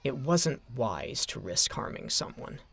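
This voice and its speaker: Male narrator, scripted fiction, animated intonation, gravelly edge, mid pitch, close dry sound. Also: measured pace, no echo.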